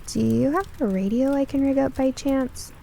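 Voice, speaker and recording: A woman's voice: high voice, moderate pace, vocal fry, dark tone, slight background noise, pitched above the performer's own voice. A woman voicing a curious, upbeat, science-minded girl who speaks earnestly in a radio drama. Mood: practical.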